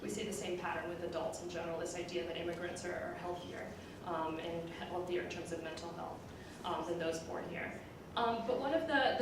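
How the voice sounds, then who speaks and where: Fast-talking woman lecturing in an echoey room. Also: on the low side. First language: bilingual, Puerto Rican background. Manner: explanatory, frequent ums and "you know". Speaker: researcher giving a lecture. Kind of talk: academic lecture with slides, semi-conversational. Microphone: distant room microphone. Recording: roomy echo, distant mic, clear background noise.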